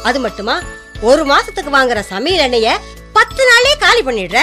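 Bossy older mother-in-law, high voice swooping as she scolds and interrogates, radio drama. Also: big pitch swings, normal speed, soft background music, full character voice. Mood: bossy, scolding. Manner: sharp, nagging, interrogating.

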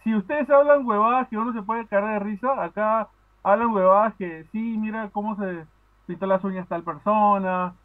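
A man's voice: sits high, normal speed. A man from Peru calling into a podcast, chatting casually with many fillers and restarts. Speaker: podcast caller. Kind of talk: casual chat. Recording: tinny phone line.

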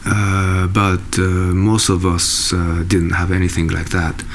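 Musician guy, deep voice, lots of uhs and restarts, bit of noise behind. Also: monotone. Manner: halting, searching for words, many fillers and restarts. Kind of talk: interview answers. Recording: faint background noise.

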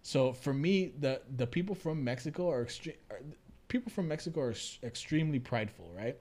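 A man's voice: mid-range voice, animated, a little fast, darkish tone. Man musing in casual talk. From United States. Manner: thoughtful, explains at length with restarts and laughs. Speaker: podcast co-host. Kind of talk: casual conversational chat.

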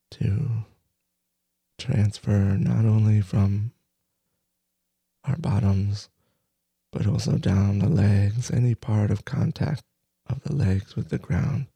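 A man leading a guided meditation, soft-spoken, in short halting phrases with long pauses. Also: lowish voice, gravelly, slightly rough, no echo. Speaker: meditation teacher.

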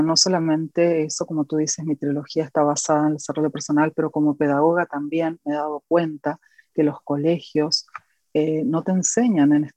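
A woman with an Argentine accent talks earnestly about her path, pausing often to gather her thoughts. Her voice is mellow and warm. The sound is dry and close, with no room around it. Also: sits low, no echo. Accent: Argentine Spanish. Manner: earnest, reflective, with many pauses and fillers. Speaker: guest, educator and author. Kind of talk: interview.

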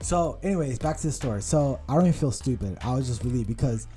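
A man's voice: medium pitch, fast talker, soft background music. A man in his thirties with an American accent, telling stories as a podcast co-host in casual chat, with many restarts. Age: 30s. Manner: rambling, animated storytelling with many restarts.

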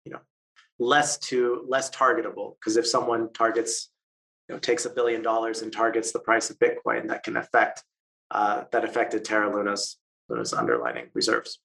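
Low-voiced man explaining with many restarts. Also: vocal fry, sharp tone, rough recording. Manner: halting, many fillers and restarts. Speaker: interview guest, stablecoin expert. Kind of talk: explanatory interview talk.

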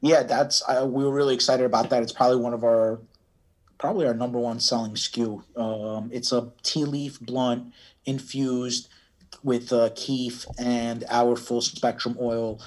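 A man giving casual interview answers, halting and often restarting his phrases. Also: medium pitch, fairly even intonation, darkish tone. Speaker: cannabis brand owner, podcast guest.

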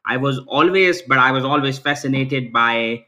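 A man's voice: medium pitch, normal speed, pushed and bright. A man, answering interview questions earnestly over a video call, restarting phrases often and ending points with "right?". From Pakistan. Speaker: guest.

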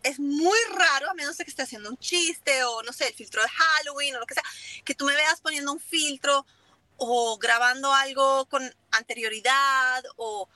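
A woman's voice: sits high, moderate pace, bright, forceful tone, dry recording. A woman, a native speaker of Italian and English, talking casually as an interview guest in foreign-accented Spanish and slipping in English words. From Italy and Germany. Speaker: interview guest, business owner.

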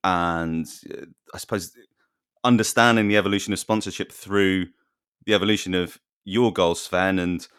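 A man with a deep voice hosts an interview, greeting his guests and asking questions in a friendly, chatty way. The sound is dry and close. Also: fairly even intonation, normal speed, a bit bright, clear, resonant tone, no room sound. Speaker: interview host. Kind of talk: podcast interview hosting.

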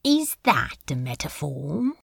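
Woman voicing a male demon, gravelly, slow drawl, sardonic and insecure, halting phrases, fan audio drama. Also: low-pitched, big pitch swings, a bit bright, no room sound, clean studio sound, heavily acted. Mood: exasperated.

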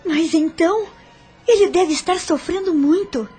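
A woman's voice: extremely high pitch, measured pace, warm tone, faint music behind. A woman playing an anxious, devoted young widow in a radio drama, speaking earnestly and tenderly. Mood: distressed.

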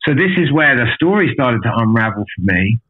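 A man's voice: medium pitch, very animated, fairly quick. Blunt, sweary man on a tinny line. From an island outside Australia. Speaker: podcast guest telling life story. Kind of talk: casual candid storytelling.

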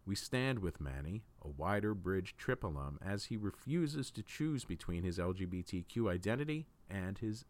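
A man reading written opinion articles aloud as a news host, in a measured, deliberate way with emphatic phrasing. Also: on the low side, a bit bright.

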